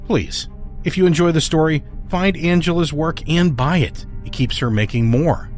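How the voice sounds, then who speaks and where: A man's voice: on the high side, moderate pace, faint music behind. A man hosting a podcast, reading a scripted introduction. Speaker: podcast host.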